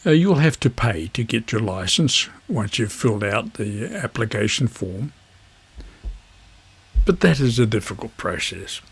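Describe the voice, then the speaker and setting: A man with a husky voice, presenting an instructional vlog in measured phrases. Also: lowish voice, very animated. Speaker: amateur radio video host.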